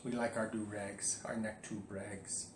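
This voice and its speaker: Casual priest talking in an echoey room. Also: male voice, fairly low pitch, little pitch movement, unhurried. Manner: conversational, candid, emphatic rises. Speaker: Orthodox priest. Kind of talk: casual video talk to parishioners. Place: indoors at home. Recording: echoey room with faint noise.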